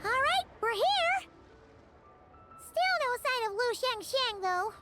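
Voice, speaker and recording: A woman voicing a tiny, excitable fairy companion in a video game, with a squeaky childlike voice and bubbly delivery, over music. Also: slow, heavily acted. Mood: chirpy. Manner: bubbly, exclamatory, speaks of self in third person.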